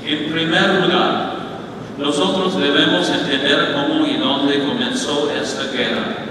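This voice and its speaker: Older guy giving a slow deliberate talk at a podium, english is his first language, big echoey hall, sounds far off with room noise. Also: a little high, steady tone. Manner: slow, deliberate delivery with a foreign accent and restarts. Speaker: conference speaker. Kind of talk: prepared speech at a podium. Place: large conference hall, indoors on stage. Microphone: podium microphone. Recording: echoey hall, taken from a distance.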